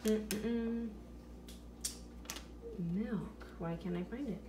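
A woman's voice: medium pitch, drawn-out words. A woman in her thirties, a mother of five, talking through her grocery haul at home and musing aloud over prices. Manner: chatty, thinking aloud about prices. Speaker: vlogger. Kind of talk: casual grocery haul narration. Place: home kitchen. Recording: echoey room with steady background noise.

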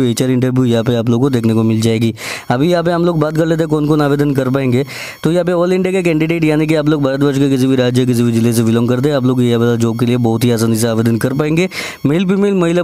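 A man's voice: a little high, mellow. A man with an Indian accent presenting job vacancies in a tutorial voice-over, explaining step by step and addressing viewers directly. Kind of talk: screen-recorded tutorial voice-over.

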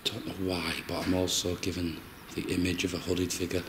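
A man working as a paranormal investigator and medium, haltingly describing his impressions and calling out to spirits inside a cave. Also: low-pitched, completely flat delivery. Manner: halting, searching for words. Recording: clear background noise and ambience.

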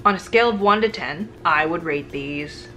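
A woman's voice: lowish voice, moderate pace, fairly bright tone, slight background noise. A woman in her thirties, a food vlogger, talking casually and candidly about her groceries in her home kitchen to a camera close in front of her. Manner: candid, chatty, frequent "like" fillers. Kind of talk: casual grocery haul chat. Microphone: camera on a counter, close framing.

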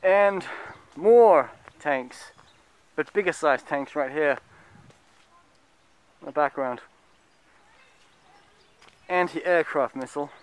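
A man in his thirties chatting casually while walking through a park, filming himself at arm's length, rambling with lots of fillers. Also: mid-range voice. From United Kingdom. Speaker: travel vlogger. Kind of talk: casual walking tour commentary. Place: outdoors in a city park and fortress. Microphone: handheld camera at arm's length.

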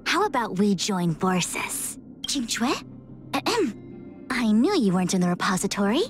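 A woman's voice: mid-range voice, sing-song, measured pace, faint music behind. A woman voicing a proud, formal young fortune-teller strategist in a video game, imperious and precise.

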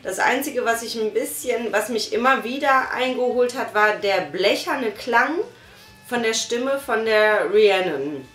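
A woman in her thirties giving a casual, thoughtful review on a sofa, pausing often with fillers. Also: fairly high pitch, fairly bright tone. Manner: thoughtful, hesitant with many fillers. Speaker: podcast reviewer. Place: small living room, on a sofa. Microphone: far camera. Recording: echoey room from far off.

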